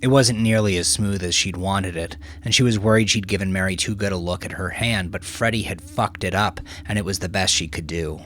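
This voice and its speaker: A man's voice: low-pitched, fairly quick, hardly any pauses, fairly bright tone. A man reading a crime story as a dramatic, scripted audiobook narration.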